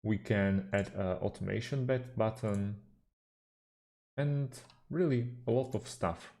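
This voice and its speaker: A man whose first language is Slovak talks through a computer tutorial at a slow, unhurried pace, pausing on fillers. His voice is low and mellow, with a gravelly edge. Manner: unhurried, hesitant with fillers, slightly non-native phrasing. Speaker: tutorial host. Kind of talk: screen-recorded software tutorial.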